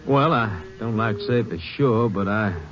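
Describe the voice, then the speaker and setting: A man's voice: fairly low pitch, normal speed, fairly bright tone, lightly acted. An actor playing an amiable, chatty, hesitant ranch foreman in an old-time radio western, with folksy American speech. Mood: amiable, rambling. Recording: muffled vintage radio recording, faint noise.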